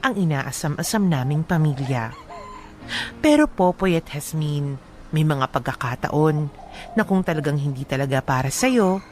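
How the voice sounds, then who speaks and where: Filipino female letter narrator for radio drama: low, measured, wistful, soft music bed. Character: married woman recounting her own sad love story. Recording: faint music behind the voice.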